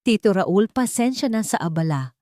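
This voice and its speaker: A woman's voice: medium pitch, animated, moderate pace, mellow, no echo, studio quality, pitched above the performer's own voice, lightly acted. Woman voicing a firm, determined rich man. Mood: polite, probing. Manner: polite yet firm. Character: determined, suspicious billionaire man seeking the truth.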